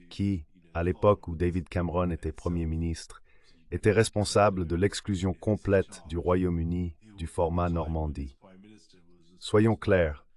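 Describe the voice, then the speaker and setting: A man's voice: deep voice, a little mellow. A synthetic male voice delivering a translated voice-over of an interview guest's answers.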